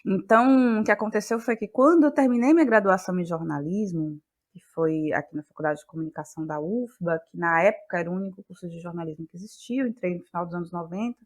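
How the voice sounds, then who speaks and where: A woman's voice: lowish voice, fast. A woman in her forties with a Bahian Brazilian accent, talking reflectively as an interview guest, building points emphatically through repeated phrases. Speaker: guest, playwright, actress and journalist.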